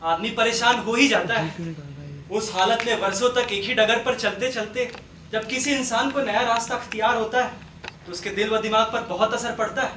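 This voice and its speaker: A man acting an earnest, persuasive family man in a stage play, speaking in a solicitous, measured way. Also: high voice, natural voice. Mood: calm, reflective. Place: theatre stage, recorded from a distance. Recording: echoey stage, distant mic, faint noise.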